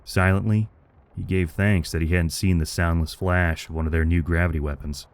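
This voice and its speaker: A male narrator reads a military adventure with dramatic, scripted delivery. His voice is low-pitched, and he keeps a measured, grave storytelling manner. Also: steady tone.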